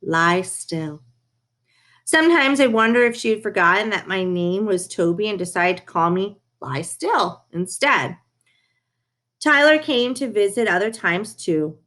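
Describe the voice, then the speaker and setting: A woman's voice: mid-range voice, fairly bright tone. A woman reading a children's story aloud, narrating it in the first person as a dog. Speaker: reader of a children's book.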